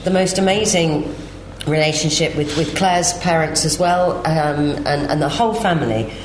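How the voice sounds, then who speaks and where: A woman with a low voice tells a heartfelt personal story as a panelist. The room is echoey, with faint noise behind her. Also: moderate pace. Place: live festival panel event.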